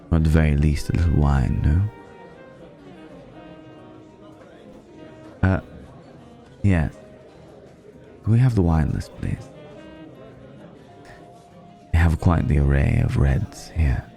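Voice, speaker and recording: A man playing a suave, flirtatious, ageless vampire in an intimate ASMR roleplay, speaking in a courtly, teasing way with lingering pauses. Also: extremely low pitch, vocal fry, mellow, exaggerated voice. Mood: playful, celebratory, then suave, indulgent. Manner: leisurely, courtly, teasing, with hesitant pauses and hums.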